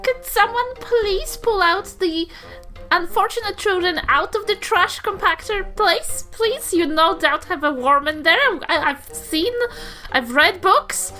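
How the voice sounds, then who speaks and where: A woman's voice: extremely high pitch, a little slow, sharp tone, faint music behind, voice pushed up, full character voice. A woman voicing a fussy, lecturing old grandmother in a tabletop game, prim and scolding yet conciliatory. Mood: fussy, pleading.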